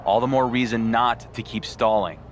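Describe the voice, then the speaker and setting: A man's voice: mid-range voice, fairly even intonation, normal speed, a little hiss or hum, close to an everyday voice. A man playing a confident, impatient champion pro wrestler in a video game cutscene, blunt and dryly sarcastic. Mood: impatient, irritated.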